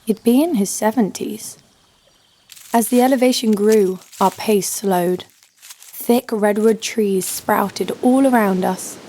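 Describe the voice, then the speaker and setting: A woman's voice: mid-range voice, takes it slowly, dark tone, slight background noise, poor audio. A woman playing a tough, sardonic knife fighter who tells her own story in an audio drama. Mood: dry, tense. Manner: dry, sardonic. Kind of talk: scripted first-person audio drama narration. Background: music.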